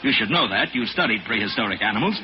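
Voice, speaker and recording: A man's voice: fairly low pitch, expressive, fast, close to an everyday voice. An actor playing a brash, effusive Hollywood producer with a salesman-like delivery in a 1940s radio drama. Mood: boastful. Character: brash, gushing Hollywood movie producer, hearty old college chum. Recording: noisy, muffled 1940s radio recording.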